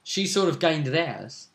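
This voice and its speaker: A man's voice: on the high side, very animated, slow pace, gravelly, a bit bright. A man chatting casually as a game master, recapping a tabletop role-playing session and acting out bits of dialogue as he goes. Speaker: game master and video host.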